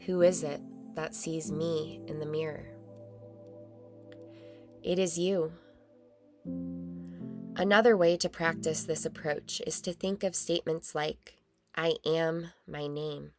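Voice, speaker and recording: A woman narrating a guided meditation in a calm, soothing, measured way with long pauses. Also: low-pitched. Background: meditation music.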